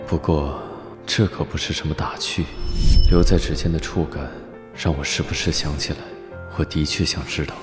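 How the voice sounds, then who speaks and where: A man voicing a haughty, teasing, possessive young duke in an animated drama, with a smug, languid delivery. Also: rumbling low, moderate pace. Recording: loud music behind. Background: music.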